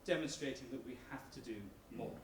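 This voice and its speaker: A man's voice: medium pitch, brisk. A man giving a persuasive public presentation as an academic researcher, speaking emphatically. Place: large indoor room at a public meeting. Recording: roomy hall, distant mic, background noise.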